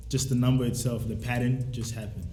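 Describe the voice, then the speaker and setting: A young man talks through his thoughts in a casual interview. His voice is very mellow and soft-edged, and his delivery is slangy, reflective and easygoing. The sound is roomy, with music quietly playing behind him. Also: mid-range voice, steady tone, brisk. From South Sudan, raised in Australia. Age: young adult. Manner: laid-back, slangy, reflective. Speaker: musician, rapper and producer being interviewed.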